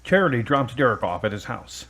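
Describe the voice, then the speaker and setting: A man's voice: medium pitch, sing-song, a little fast, fairly bright tone, soft background music. A man reading scripted scene narration for an audio drama.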